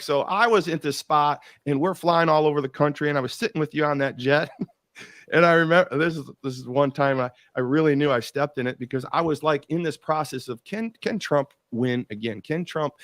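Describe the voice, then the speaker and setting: A man's voice: fairly high pitch, fairly bright tone, dry recording, studio quality. A man hosting an interview podcast, talking enthusiastically and rambling with many restarts. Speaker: podcast host. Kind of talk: interview host, casual chat.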